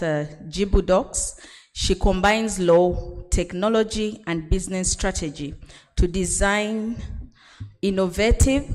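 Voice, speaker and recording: A woman's voice: mid-range voice, a little mellow. Woman emcee in an echoey hall. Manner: formal, reads a bio aloud. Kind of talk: reading a speaker introduction. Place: large conference hall.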